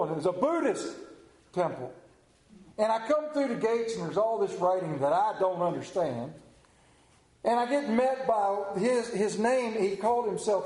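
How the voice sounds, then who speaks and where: A man preaching as a pastor to a congregation, speaking in a conversational, folksy way with deliberate pauses. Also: sits high, dark tone. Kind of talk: sermon with anecdote, scripture reading and prayer. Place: church during a service, also on video. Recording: roomy, echoing church sound.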